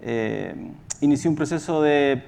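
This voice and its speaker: Higher-pitched Chilean man presenting. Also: creaky, darkish tone, slight background noise. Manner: halting, self-correcting. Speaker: radio company executive director, presenter. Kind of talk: informal conference presentation.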